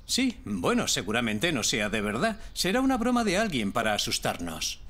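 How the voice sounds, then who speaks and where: A man voicing a calm, dependable firefighter in a children's cartoon, with a Castilian Spanish accent and a measured, reassuring manner. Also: medium pitch. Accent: Spanish from Spain. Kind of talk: dubbed children's cartoon acting.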